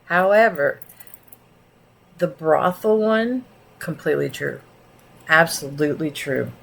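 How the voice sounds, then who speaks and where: Slow-talking woman with a low gravelly voice. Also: lively intonation, slight background noise. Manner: slow, drawling and blunt. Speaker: streamer, channel host. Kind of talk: casual stream chat.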